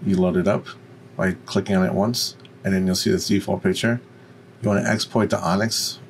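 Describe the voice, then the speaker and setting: A man with a deep voice giving an unscripted, step-by-step software tutorial. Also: steady tone, brisk, vocal fry, slight background noise. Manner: instructional, step by step. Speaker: tutorial presenter.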